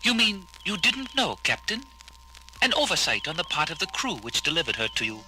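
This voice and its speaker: A man's voice: on the high side, wildly swooping pitch, brisk, sharp tone, slight background noise, full character voice, rough recording. An actor playing a polite male alien ambassador, speaking in a courteous, diplomatic, formal way.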